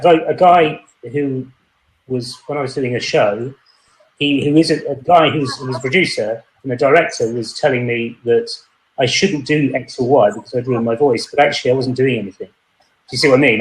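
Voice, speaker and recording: A man's voice: medium pitch, moderate pace. A man hosting a podcast in casual chat, asking follow-up questions and trying the exercises out. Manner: inquisitive, asks follow-up questions, tries exercises aloud. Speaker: podcast host. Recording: echoey room.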